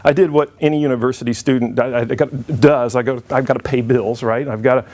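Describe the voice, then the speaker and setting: A man's voice: mid-range voice, fairly even intonation, a little fast, darkish tone. A middle-aged man giving an informal conference presentation to an audience. He jokes and restarts his sentences often. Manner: friendly, joking, with frequent restarts.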